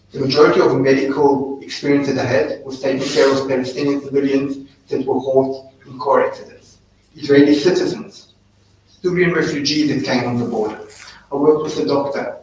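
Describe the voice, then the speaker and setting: A South African man in his thirties tells his story to a live audience in earnest, conversational tones. His voice comes from a distance and rings around a very echoey room. There is a faint hiss in the background. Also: medium pitch. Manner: earnest storytelling, animated when quoting others. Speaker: guest speaker. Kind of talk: personal talk to a live audience. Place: event room.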